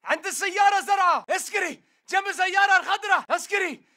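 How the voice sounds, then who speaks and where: An actor playing an aggressive male militant fighter in a video game, shouting urgent short combat callouts in Levantine Arabic. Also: extremely high pitch, very fast. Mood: urgent, alert. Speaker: enemy soldier voice.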